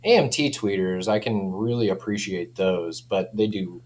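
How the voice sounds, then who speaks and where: A man's voice: low voice, normal speed, a little mellow, slight background noise. A man speaking informally as a company representative in a casual product chat, often framing things as personal opinion. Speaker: audio company representative and interview guest.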